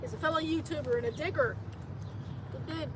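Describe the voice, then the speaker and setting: A woman's voice: sits high, fairly quick. A woman with a Southern US accent co-hosting a casual outdoor live stream, teasing and laughing often. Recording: noisy outdoor stream audio.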